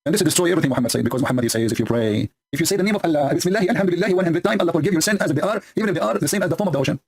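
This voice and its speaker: A man whose first language is Arabic rattles through scripture at breakneck, double-speed pace, mocking as he goes. His voice is slightly husky, and his English is broken but forceful. The delivery is sarcastic and combative throughout. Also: fairly high pitch, expressive, very fast. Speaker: religious polemic commentator. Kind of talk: commentary reading and mocking scripture.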